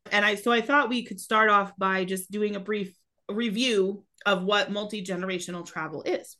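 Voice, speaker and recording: A woman in her thirties co-hosting a podcast in casual chat, her voice a little raspy from a cold, talking loosely with many restarts. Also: mid-range voice, fairly even intonation, moderate pace, pushed and bright. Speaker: podcast co-host.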